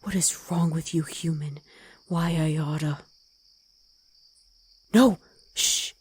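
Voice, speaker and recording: A woman voicing a gruff, protective wolf girl ex-soldier in an ASMR roleplay, stern and commanding, often in a hush. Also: low-pitched, steady tone, takes it slowly, heavily acted. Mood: angry, defiant.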